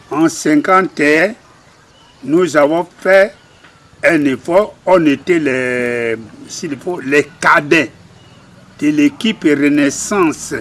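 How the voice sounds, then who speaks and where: Slow-spoken old Congolese man. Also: a little high, a bit bright. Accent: Congolese French. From Republic of the Congo. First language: a Congolese language. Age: older. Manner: slow, halting, self-deprecating. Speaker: interviewee, retired cabinetmaker. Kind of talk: recounting his life story. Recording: faint background noise.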